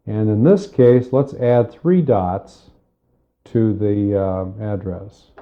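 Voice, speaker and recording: A man giving a methodical, step-by-step spoken computer lesson, explaining with pauses, recorded on cassette tape. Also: fairly low pitch, narrow, tinny audio. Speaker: software tutorial instructor.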